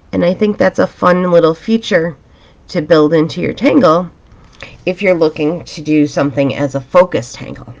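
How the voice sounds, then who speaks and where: A woman teaching a drawing pattern step by step in a calm, chatty, reassuring way, her voice a little raspy. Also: fairly low pitch, muffled recording. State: slightly raspy from a dusty room. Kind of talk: relaxed step-by-step drawing tutorial. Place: home art studio, indoors.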